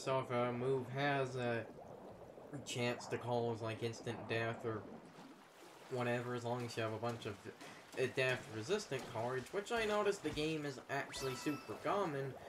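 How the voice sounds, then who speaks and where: A man co-hosting a gaming video, giving opinionated commentary in a casual, halting, rambling way. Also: medium pitch, background noise. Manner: rambling, halting, circles back to his point.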